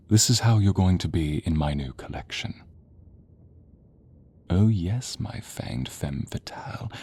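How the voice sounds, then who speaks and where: A man performs a theatrical, sadistic male sorcerer for an audio roleplay. The voice is low and swings widely between silky menace and breathy whispers. Words are drawn out slowly as he addresses the listener directly. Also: darkish tone, full character voice. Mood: gloating, sinister.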